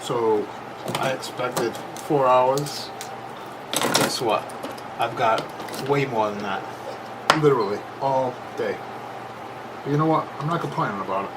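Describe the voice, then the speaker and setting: A man's voice: medium pitch, unhurried, pauses often. A man giving a casual, unscripted hands-on repair demonstration, plain-spoken and thinking aloud as he works. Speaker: repair video host. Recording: noisy camera recording with loud background noise.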